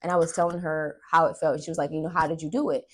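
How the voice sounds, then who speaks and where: Young female vlogger, low voice, casual and animated storyteller who leans dramatically on key words. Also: very fast. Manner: animated, dramatic, acts out dialogue and emphasizes words. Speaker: podcast host and aspiring actress. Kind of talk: casual storytelling vlog chat.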